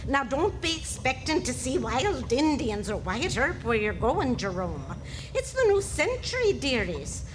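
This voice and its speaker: An actress plays a firm, motherly nun in a radio drama. Her voice is high and swoops widely as she admonishes with old-fashioned kindness. The recording is clearly noisy, with ambient sound behind her. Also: big pitch swings, measured pace. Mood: firm, admonishing. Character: stern but kindly nun escorting orphans by train. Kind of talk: radio play acting.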